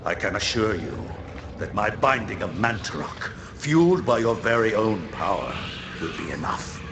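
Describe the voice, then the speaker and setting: An actor playing a proud, scheming sorcerer in a horror game, formal, self-assured and commanding. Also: male voice, on the low side, big pitch swings, moderate pace. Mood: confident. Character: proud, scheming mortal sorcerer serving dark gods. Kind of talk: theatrical horror game acting. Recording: echoey chamber, loud ambient noise.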